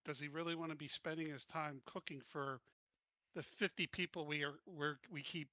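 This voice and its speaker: A man's voice: fairly high pitch, fairly even intonation, normal speed, sharp tone, dry recording, muffled recording. A man chatting casually as a player in a tabletop roleplaying game session.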